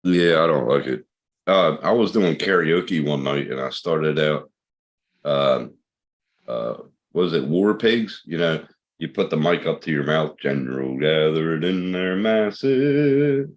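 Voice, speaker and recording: A man with a very deep, low-pitched voice, chatting loosely with friends on a stream. He laughs a lot and swears casually between jokes. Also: animated, slow pace. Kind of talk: casual group chat.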